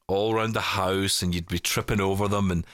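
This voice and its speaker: A man hosting a tech podcast, chatting casually and telling stories with quick asides. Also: deep voice, fairly even intonation, a bit bright, no echo, clean studio sound. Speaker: podcast host. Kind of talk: casual chat.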